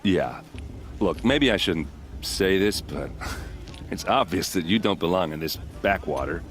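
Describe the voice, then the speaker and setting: A man's voice: sits low, animated, measured pace, soft background music. An actor playing a breezy, flirtatious, confident man chatting teasingly in a fantasy game. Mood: bashful, admiring. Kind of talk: casual dialogue in a fantasy game.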